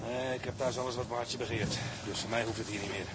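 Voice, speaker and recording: A man's voice: mid-range voice, fairly even intonation, fast talker, close to an everyday voice. Casting: a man for a wry old-hand mariner in radio drama, mid-range voice, plain-spoken, engine noise behind. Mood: wry, resigned. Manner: matter-of-fact, wry storytelling.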